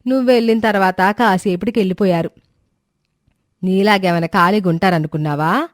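A woman voicing a quarrelsome, nagging older mother-in-law in an animated moral story. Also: medium pitch, brisk, lightly acted. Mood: scolding. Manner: sharp-tongued, nagging.

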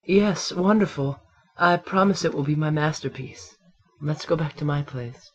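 A man's voice: fairly high pitch, measured pace, lo-fi sound. A man voicing a vain, lecherous artist, smarmy and awkward, in a comedic anime parody dub. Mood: oily, flirtatious.

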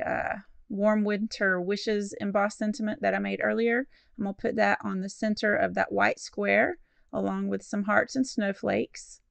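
A woman's voice: mid-range voice, gravelly, a bit bright, dry recording. A woman narrating a step-by-step card-making tutorial in a friendly, encouraging, instructive way. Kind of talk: step-by-step craft tutorial voice-over.

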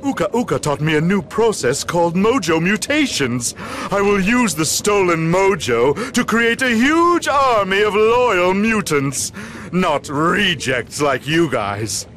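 A vain cartoon mad scientist villain from a video game. His voice is high and swoops widely with theatrical pomp. He takes his words slowly, savouring his evil boasts. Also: lively intonation, fairly bright tone, a little hiss or hum. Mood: boastful. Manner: pompous, theatrical.

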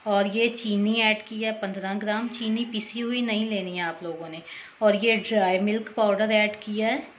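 A woman narrating a cooking tutorial as voice-over, talking casually and directly to her viewers, often pausing with a quick "okay". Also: mid-range voice, steady tone, highs cut off, a little hiss or hum. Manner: chatty, repeats "theek hai", addresses viewers directly. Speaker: cooking channel host.

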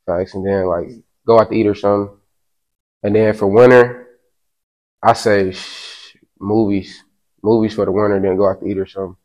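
Laid-back low-voiced young man. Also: steady tone, normal speed, long pauses, a little mellow, poor audio. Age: 20s. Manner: laid-back, hesitant, many fillers. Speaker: dating show contestant. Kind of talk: casual chat.

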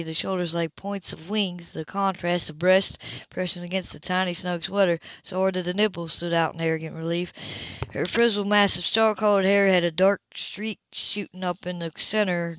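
A woman's voice: fairly low pitch, fairly bright tone, clear, resonant tone, no room sound, muffled recording. A woman reading a novel aloud as an amateur audiobook narrator, occasionally stumbling and correcting herself, on a home recording.

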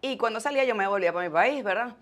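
A woman's voice: fairly high pitch, animated, racing. A woman in her twenties with a Venezuelan accent, telling stories casually as an interview guest on a lapel mic. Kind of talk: casual, chatty storytelling. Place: small indoor studio set.

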